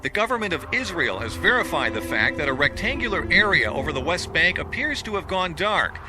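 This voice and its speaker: A news announcer reads bulletins in a brisk, formal manner. His voice sits fairly high. Music plays clearly underneath. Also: sing-song. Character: male radio news announcer. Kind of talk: broadcast news reading.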